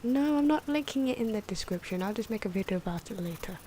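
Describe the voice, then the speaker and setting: A soft-spoken, sometimes whispery woman giving unscripted, musing ASMR commentary as she draws, correcting herself as she goes. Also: mid-range voice, animated, fast talker, slight background noise. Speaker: ASMR artist narrating own drawing. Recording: clock and pen noises behind. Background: ticking clock, pen scratching.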